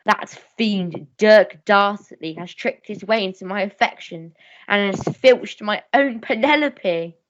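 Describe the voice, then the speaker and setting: A blustering old major, acted by a woman, declaiming grandly. The voice sits at a middling pitch and repeats words for melodramatic effect. The radio-play recording sounds slightly dull. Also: unhurried, lightly acted. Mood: distraught. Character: blustering older major, distraught melodramatic father.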